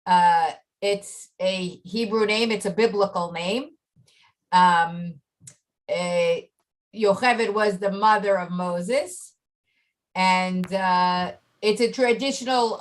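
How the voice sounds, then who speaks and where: Woman, mid-pitch voice, chatty and explanatory, video call audio. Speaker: chat participant. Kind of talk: casual video call chat.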